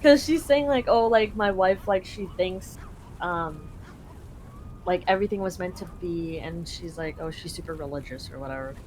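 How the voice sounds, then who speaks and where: Young woman, casual live translation, chatty fillers, faint rumble. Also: moderate pace, a little mellow, low-quality recording. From Canada. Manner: chatty, full of "like" fillers and laughter.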